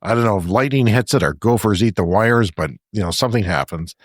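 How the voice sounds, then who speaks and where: A man co-hosting a podcast in casual chat, talkative and quick to laugh. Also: lowish voice, dry recording, studio quality. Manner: chatty, laughs often between remarks. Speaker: podcast co-host. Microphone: remote podcast call.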